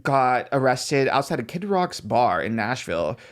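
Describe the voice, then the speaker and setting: Mid-pitched man recapping celebrity gossip. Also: sing-song, moderate pace. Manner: conversational, gossipy, slangy. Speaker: podcast co-host. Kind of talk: casual celebrity news recap.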